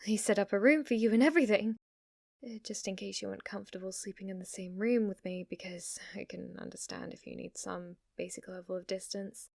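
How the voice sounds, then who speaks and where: A woman's voice: mid-range voice, steady tone, a little fast, gravelly, no room sound, clean studio sound, lightly acted. A woman voicing an obsessive, lovestruck witch in an intimate ASMR roleplay, with a hesitant, gushing way of talking. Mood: nervous, smitten. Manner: hesitant, rambling, gushing, giggly delivery. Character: lovestruck yandere witch, obsessive, shy yet giddy, teasing.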